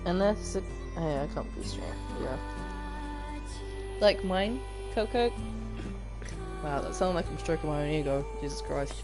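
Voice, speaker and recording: A game streamer chatting casually while playing, with a mumbling, mostly monotone delivery. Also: medium pitch, a little slow, pauses often. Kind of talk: casual chat while gaming. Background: game music.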